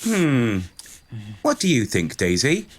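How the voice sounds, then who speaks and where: An actor playing a naive, dim, excitable young male dreamer in a pantomime audio drama. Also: medium pitch, takes it slowly, very noisy. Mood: puzzled.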